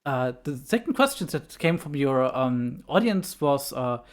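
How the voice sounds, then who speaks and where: A woman from Germany hosting a casual interview, playful and quick to laugh. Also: rumbling low, sing-song.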